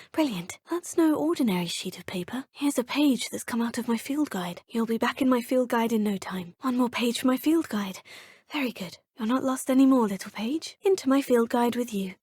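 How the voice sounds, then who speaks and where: An actress voicing a young, curious, polite witch student in a game, speaking in a husky, often half-whispered voice in formal phrases. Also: a little high, a little mellow, no echo, studio quality. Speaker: player character, a student. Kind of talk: game voice lines.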